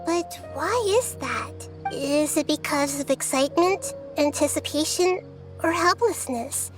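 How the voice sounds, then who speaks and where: An actress playing a gentle, wise young girl deity in a video game, speaking thoughtfully and earnestly. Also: sits high, music in the background. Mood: earnest, pondering. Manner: thoughtful, measured counselling. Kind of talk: video game character dialogue.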